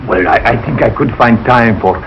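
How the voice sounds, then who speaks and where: An actor playing a suave, effusive French tutor with a French accent in a 1940s radio sitcom, in broken English sprinkled with French. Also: male voice, lowish voice, a little fast, fairly bright tone. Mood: eager, persuasive. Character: suave, eager French language tutor, flattering and excitable. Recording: dull, noisy old radio broadcast.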